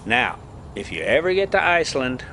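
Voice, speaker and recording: A man reading a story aloud as an amateur host, halting and stumbling over words now and then, with casual delivery. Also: medium pitch, sing-song, pushed and bright, slight background noise. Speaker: host reading stories aloud for a pet video channel.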